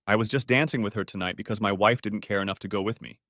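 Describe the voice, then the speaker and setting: A man's voice: on the low side, fast talker, fairly bright tone, no echo, clean studio sound. A man voicing a fed-up, sarcastic middle-aged husband in a narrated story. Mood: sarcastic, weary.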